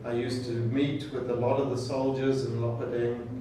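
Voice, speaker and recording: An older man from Kenya, a native Swahili speaker, giving an informal welcome talk to students in a measured way, in short phrases with hesitations. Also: mid-range voice, level tone, distant mic, background noise. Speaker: program director welcoming students. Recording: echoey room recorded from afar.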